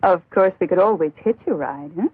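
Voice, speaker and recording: An actress playing a steady, companionable young traveller in a dubbed vintage horror film, lively and teasing, with a husky voice. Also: female voice, lowish voice, brisk, no room sound. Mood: wry. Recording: muffled tinny old film soundtrack.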